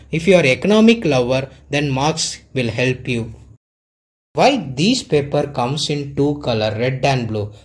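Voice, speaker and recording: A man teaching a dental technique in an instructional voice-over, explaining step by step in an earnest, plain way. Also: medium pitch. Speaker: dentist teaching clinical technique.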